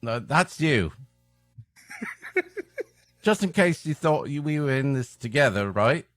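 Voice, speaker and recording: A man's voice: mid-range voice, animated, slow pace, fairly bright tone, dry recording, clean studio sound, faint music behind. A man co-hosting a comedy podcast with sardonic, wisecracking banter. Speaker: podcast co-host, interrupting commentator. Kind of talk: comic banter.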